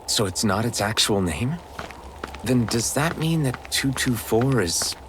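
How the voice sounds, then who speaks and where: An actor playing an earnest, curious young adventurer in a video game, talking straightforwardly. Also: male voice, medium pitch, lively intonation, a little slow, background noise, natural voice. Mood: puzzled. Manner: inquisitive, straightforward.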